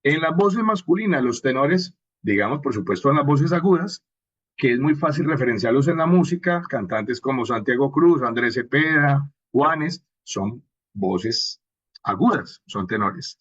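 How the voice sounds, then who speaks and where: A Colombian man teaches in an informal, explanatory way, pausing for little check questions. His voice is a bit husky and slightly on the high side. The audio is dull and muffled. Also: lively intonation, fairly bright tone. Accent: Colombian Spanish. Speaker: workshop instructor on radio drama and podcasting. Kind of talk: informal spoken lecture.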